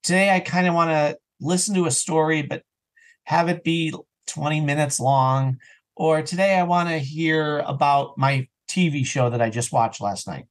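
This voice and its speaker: A man's voice: on the high side, moderate pace. A man speaking as an interview guest on a podcast in a casual, opinionated, emphatic conversational way. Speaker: interview guest, audio drama writer and actor.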